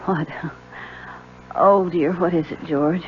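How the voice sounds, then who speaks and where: An actress playing a frail, wistful, ailing wife in a radio mystery, with a sighing, drawn-out delivery. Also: female voice, fairly low pitch, fairly even intonation. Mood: wistful, weary. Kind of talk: 1970s radio mystery drama acting. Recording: tinny, hissy old radio recording.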